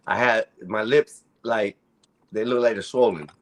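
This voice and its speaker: A man with a low, groaning voice and a Spanish-influenced accent, chatting casually and swearing a lot. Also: measured pace. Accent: Spanish-influenced English. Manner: laid-back, swears a lot. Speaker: stream guest. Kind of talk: casual crude banter.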